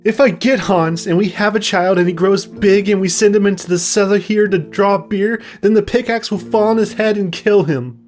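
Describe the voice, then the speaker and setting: A man's voice: high voice, normal speed, hardly any pauses, darkish tone, soft background music, voice pushed up, close to an everyday voice. A man voicing a foolish, anxious young woman from a storybook, plaintive and overwrought. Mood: anxious wailing. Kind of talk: storybook character voice.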